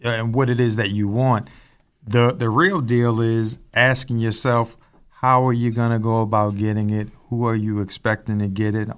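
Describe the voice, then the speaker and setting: A man co-hosting a talk show, introducing the topic and guest in a measured, somewhat formal way. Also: on the low side, smooth. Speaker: co-host.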